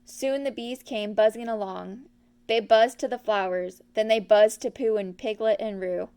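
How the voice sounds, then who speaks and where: A woman's voice: medium pitch, fairly even intonation, normal speed, warm tone, clean tone. A woman narrating a children's storybook from a script as the reader.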